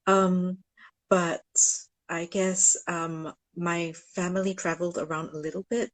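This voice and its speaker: A woman in her forties from Singapore speaks in a low voice over a dry, close video-call sound. She answers thoughtfully, pausing often with filler words and adding dry, self-deprecating jokes. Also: flat delivery, dry recording, poor audio. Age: 40s. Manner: thoughtful, many ums, self-deprecating humor. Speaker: ethnomusicologist guest. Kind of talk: casual online interview.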